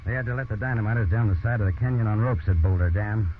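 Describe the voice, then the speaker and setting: An actor playing a bold, hopeful roving construction worker in a 1930s radio drama, declaiming in a folksy, boastful way. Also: male voice, on the low side, fast talker, lightly acted. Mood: proud, marvelling. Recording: muffled, noisy 1930s radio recording.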